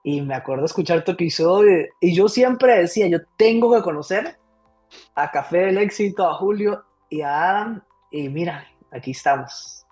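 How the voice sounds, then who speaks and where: A man in his thirties from Costa Rica, a comedian guest telling his life story in a casual, lively interview. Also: high-pitched, moderate pace, slightly rough, faint music behind. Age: 30s.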